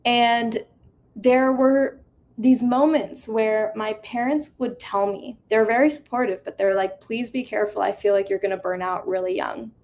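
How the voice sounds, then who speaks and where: A woman's voice: a little high, fairly even intonation, normal speed, darkish tone. A woman in her twenties giving an earnest, reflective talk with slides on a video call, with many fillers. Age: 20s. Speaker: conference speaker. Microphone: video call mic.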